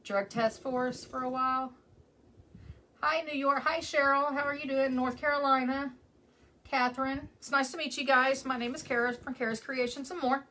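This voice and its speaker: A woman's voice: high voice, steady tone, normal speed, bright, forceful tone, low-quality recording. A woman with a West Texas accent hosting a live crafting stream from home, chatting casually and greeting viewers by name as she works. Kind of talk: casual chat while crafting.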